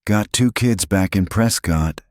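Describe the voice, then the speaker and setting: A man's voice: on the low side, a little slow, a little mellow, no echo, clean studio sound, pitched above the performer's own voice, lightly acted. A man voicing a desperate, hungry woman outlaw who talks in curt, growling frontier speech. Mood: weary.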